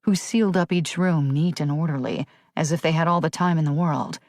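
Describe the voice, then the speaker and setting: A woman's voice: low-pitched, a little fast, darkish tone, dry recording, clean studio sound. A woman reading a thriller audiobook as a scripted, dramatic narration.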